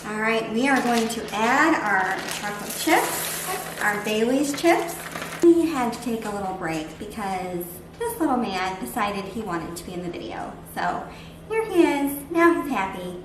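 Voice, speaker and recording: A woman's voice: a little high, expressive, a little slow. A woman chatting casually as host of a home cooking vlog while she demonstrates things. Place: indoors at home, kitchen. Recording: echoey room with background noise.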